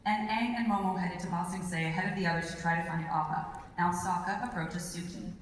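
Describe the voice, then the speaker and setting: A woman hosting a live convention panel in an animated, encouraging manner. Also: on the low side, quick pace. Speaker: panel host and table read narrator. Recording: distant, echoey hall, crowd and noise.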